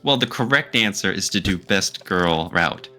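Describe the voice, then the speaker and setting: Bright, low-pitched male podcast co-host. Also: animated, moderate pace, soft background music. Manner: emphatic, playfully argumentative. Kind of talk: casual debate-style podcast chat.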